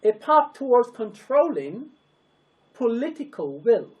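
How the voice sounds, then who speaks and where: A narrator reads a formal public address very slowly. The pitch moves widely from phrase to phrase. The delivery is solemn and emphatic, with sentences broken into short, weighty pieces. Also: sing-song, phone-quality sound. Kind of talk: scripted, declamatory public address.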